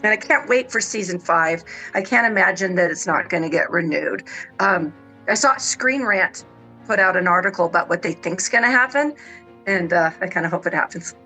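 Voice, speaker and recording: A woman of about sixty chatting casually and enthusiastically as a panel guest, often halting and repeating words as she goes. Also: on the low side, lively intonation, pushed and bright, faint music behind, poor audio. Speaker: panel guest on a radio discussion. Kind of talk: casual chat. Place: radio roundtable discussion.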